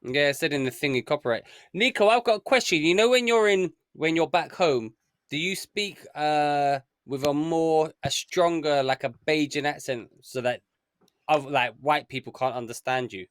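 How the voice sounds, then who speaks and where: A man's voice: fairly high pitch, normal speed, a bit bright, no room sound, studio quality. A man co-hosting a podcast over a video call, eagerly quizzing the others. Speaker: podcast co-host. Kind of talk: casual chat.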